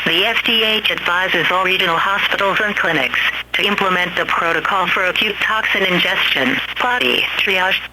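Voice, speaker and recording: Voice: mid-range voice, heavy background noise. A synthetic-sounding announcer of unclear sex reading a scripted emergency alert in a formal, impersonal way with clipped headings.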